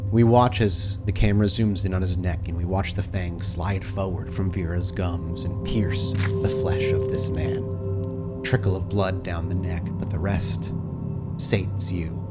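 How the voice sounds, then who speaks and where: A man runs a tabletop horror game as its storyteller. He narrates in a vivid, deliberate, cinematic way, with music clearly playing behind him. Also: low-pitched, level tone. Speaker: game master.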